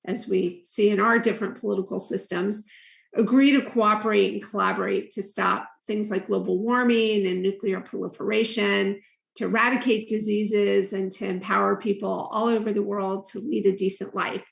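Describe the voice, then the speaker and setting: A woman speaking as a panel guest, giving prepared remarks in a measured, speechlike way. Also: medium pitch, steady tone, vocal fry. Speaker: nonprofit CEO, panel guest. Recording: roomy echo.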